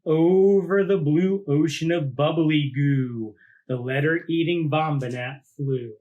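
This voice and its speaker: Higher-voiced man reading to kids. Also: slow, a little mellow, dull audio. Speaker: teacher reading aloud to students. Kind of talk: children's picture book read-aloud with casual asides.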